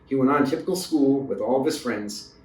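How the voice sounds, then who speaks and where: A man's voice: medium pitch, brisk, a little mellow. A man reading a children's story aloud as a narrator, recorded in a roomy space away from the mic. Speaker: storybook reader. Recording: roomy echo, distant mic.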